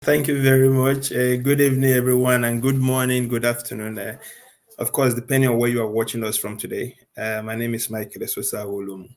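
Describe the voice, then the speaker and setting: A man from Nigeria speaking as a panel guest, measured and polite. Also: mid-range voice, level tone, darkish tone. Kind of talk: formal panel introduction.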